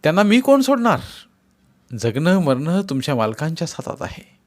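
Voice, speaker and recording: A man's voice: on the high side, very animated, moderate pace, dry recording, voice pushed up. A man voicing a sly official who pressures a family into a signature. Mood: pressing. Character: scheming man holding a prisoner.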